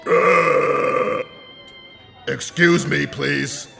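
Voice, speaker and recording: A man voicing a small, dim but polite toy monster guard with a gravelly growl, in an audio drama. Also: fairly high pitch, steady tone, over music, exaggerated voice. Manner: slow, deliberate delivery. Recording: long cavernous reverb.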